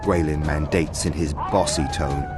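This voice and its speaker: A man's voice: extremely low pitch, fairly even intonation, unhurried, loud music behind the voice. A man narrating a scripted fantasy story in a dramatic storytelling style.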